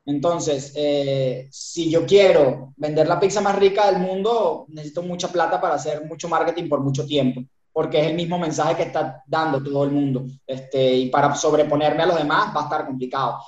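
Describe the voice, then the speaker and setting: A Venezuelan man with a Venezuelan Spanish accent, a business founder being interviewed, explaining his ideas in an animated way with many fillers. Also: a little high, normal speed, hardly any pauses. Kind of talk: interview answers. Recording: roomy, dull audio with music.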